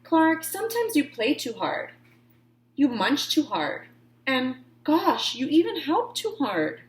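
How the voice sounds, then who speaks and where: A woman voicing a patient but firm female schoolteacher in a children's picture book, in an instructive, gently admonishing manner. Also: sits high, huge pitch swings, takes it slowly, roomy sound, voice pushed up, heavily acted.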